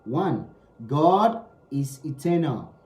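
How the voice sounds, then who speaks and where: A man's voice: fairly high pitch, very animated, drawn-out words, a little mellow, reverberant. A man giving a spiritual teaching talk. He speaks emphatically, repeating key phrases and asking rhetorical questions.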